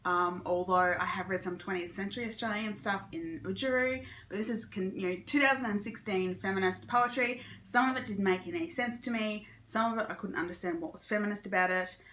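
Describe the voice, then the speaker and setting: Bright-voiced woman book vlogger in echoey room. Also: mid-range voice, a little fast, few pauses, a little hiss or hum. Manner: chatty, informal, opinionated. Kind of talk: casual monthly reading wrap-up chat.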